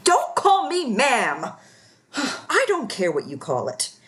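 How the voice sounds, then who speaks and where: Slow, sultry scheming society woman, played by an actress. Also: a little high, wildly swooping pitch, fairly bright tone, full character voice. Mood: indignant, bossy. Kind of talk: radio play acting.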